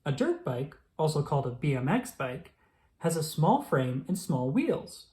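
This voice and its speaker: A narrator reading a children's picture book aloud in a clearly enunciated, instructional storytelling manner. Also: mid-range voice, big pitch swings, a little slow, mellow.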